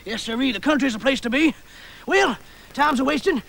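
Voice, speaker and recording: An actor playing a folksy, over-dramatic backwoods pioneer in a comedy sketch. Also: male voice, very high voice, normal speed, gravelly, fairly bright tone, exaggerated voice. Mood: hearty. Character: hearty backwoods pioneer calling to his companion Bessie. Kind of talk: broad comedy sketch acting.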